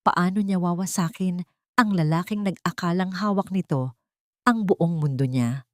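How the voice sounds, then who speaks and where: A woman's voice: on the low side, normal speed, dry recording, clean studio sound. A woman reading scripted, dramatic love-story narration for an audio drama.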